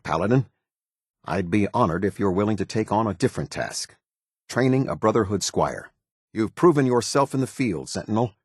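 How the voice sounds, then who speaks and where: A stern American military captain in middle age, speaks in a low, husky voice. He is formal and authoritative, with clipped orders and a pitch that moves widely. The recording is close and dry. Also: dry recording. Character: stern, demanding middle-aged male military officer. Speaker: video game voice actor. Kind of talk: scripted game dialogue, military commander.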